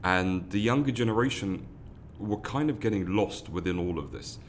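A man's voice: fairly low pitch, animated, moderate pace, slight background noise. A man, a foundation founder giving earnest interview answers, often restarting and repeating words. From London, England. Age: 30s.

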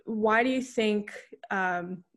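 A woman's voice: medium pitch, slow, fairly bright tone. A young woman with an American accent interviewing in a casual, giggly, informal way, often saying "like". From United States. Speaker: podcast interviewer.

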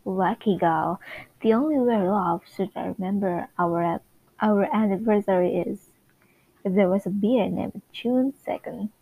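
A woman's voice: mid-range voice, unhurried, husky, no echo, narrow, tinny audio. A woman playing a nosy, chatty 1950s sitcom housewife neighbour, gushing in folksy old-fashioned slang.

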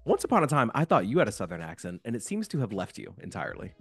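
Podcast host, young man, low voice with a lot of swing in it, dry close sound, faint music under him. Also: rapid-fire, creaky, husky, dry recording. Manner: animated, joking, lots of fillers. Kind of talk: casual interview chat.

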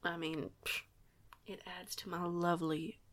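A woman's voice: on the low side, level tone, unhurried, fairly bright tone. A young woman playing an eager, awkward werewolf newcomer in an audio roleplay, talking in a hesitant, rambling, informal way. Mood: bashful. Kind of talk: audio roleplay acting, casual dialogue.

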